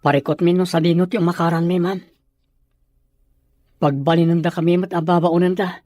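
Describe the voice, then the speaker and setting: A man's voice: on the high side, little pitch movement, moderate pace, a little mellow, dry recording, natural voice. An actor playing an earnest, defiant young village fisherman, plain-spoken, in Filipino radio drama style. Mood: aggrieved.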